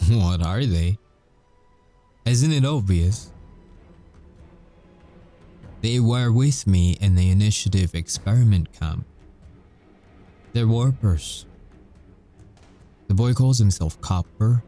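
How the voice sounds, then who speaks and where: Guy acting as a werewolf soldier, real mellow dark low voice, wry and haunted, slow dramatic pauses, asmr roleplay. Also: expressive, soft background music. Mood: wry, fond. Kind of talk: audio roleplay monologue, ASMR.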